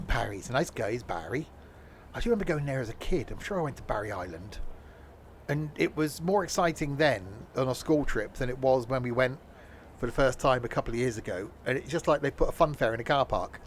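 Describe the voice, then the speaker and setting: A man's voice: medium pitch, animated, a little fast, a little hiss or hum. A man streaming a train game, chatting casually and joking with live viewers, rambling with frequent self-corrections. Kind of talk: casual gameplay commentary.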